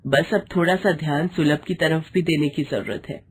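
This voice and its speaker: A woman voicing a caring, protective older mother-in-law, soothing and gently commanding, in a moral story. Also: sits low, normal speed, a little hiss or hum, lightly acted. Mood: reassuring.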